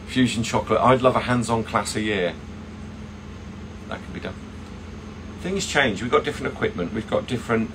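A man in his fifties chatting casually as he hosts and teaches, digressing and correcting himself as he goes, speaking into a phone in a small kitchen. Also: on the low side. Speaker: chocolatier teacher and host. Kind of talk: casual livestream chat and explanation. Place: small indoor work kitchen. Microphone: phone at arm's length. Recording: steady air-conditioning hum, kitchen room noise.

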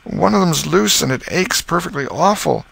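A man voicing a whiny, mischievous young boy in a storybook, pleading with drawn-out, folksy speech. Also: sits high, expressive, gravelly, a bit bright, breathy edge, voice pushed up, heavily acted. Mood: sheepish.

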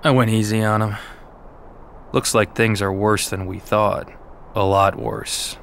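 A man's voice: on the low side, level tone, a little slow, vocal fry, a bit bright, slight background noise. An actor playing a stern young swordsman in a game cutscene who speaks in terse, clipped lines. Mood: cool.

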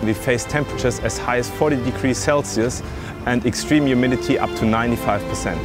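Calm man explaining over music. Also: mid-range voice. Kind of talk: documentary narration. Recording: music bed under voice.

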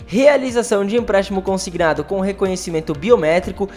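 A man's voice: high voice, fast, a little mellow. A Brazilian man with a Brazilian Portuguese accent, hosting a news commentary on pension benefits, talking straight to viewers and leaning on filler words. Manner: addresses viewers directly, repetitive filler "aí". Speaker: channel host. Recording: faint background music.

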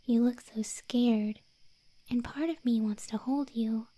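A woman voicing a possessive, obsessive moth girlfriend in an ASMR roleplay, sweetly menacing in slow, breathy, cooing whispers close to the microphone. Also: on the high side, fairly even intonation, mellow, no room sound. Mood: yearning, possessive. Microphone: close microphone, dry.

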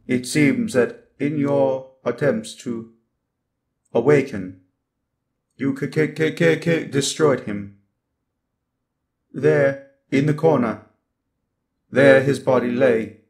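Slow, glitching evil robot overlord. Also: male voice, medium pitch. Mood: cold, grandiose. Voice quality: glitching, stuttering speech. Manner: cold, grandiose, deliberate. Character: sinister genocidal robot overlord with damaged speech module. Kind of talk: ASMR roleplay monologue.

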